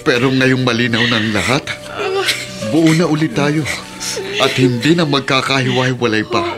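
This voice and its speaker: A man's voice: mid-range voice, moderate pace, loud music behind the voice, lightly acted. An actor playing a devoted, cheerful husband and father in a radio drama. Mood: tender, hopeful.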